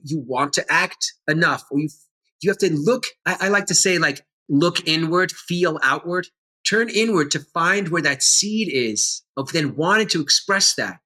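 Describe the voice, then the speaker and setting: A man's voice: fairly high pitch, bright, forceful tone. A middle-aged man, an actor and teacher, talking earnestly and with animation in a conversational interview.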